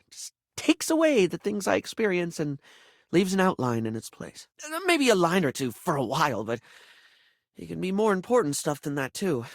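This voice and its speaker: A man voicing a flamboyant, scatterbrained makeup character in a video game, effusive and camp, with halting, self-deprecating, apologetic delivery. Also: a little high, vocal fry, no room sound, clean studio sound, heavily acted. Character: flamboyant, scatterbrained, apologetic male makeup object, gushing and insecure.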